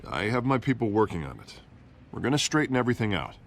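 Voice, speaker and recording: Deep, animated, confident official. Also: male voice, fast, slight background noise. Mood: assured. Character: confident, affable male public official, loyal friend. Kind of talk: dramatic video game dialogue.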